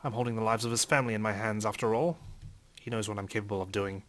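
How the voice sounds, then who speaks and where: A man reading a visual novel aloud as theatrical first-person narration, in character as an indignant gentleman. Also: on the low side, fairly even intonation, fast talker. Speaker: let's player reading a game aloud.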